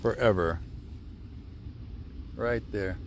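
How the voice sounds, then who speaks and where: A man's voice: fairly low pitch, very slow, a little hiss or hum. A young man vlogging outdoors in a cemetery, talking respectfully in a rambling way with many fillers and self-corrections. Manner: respectful, hushed. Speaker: travel vlogger. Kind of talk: casual vlog monologue at a graveside.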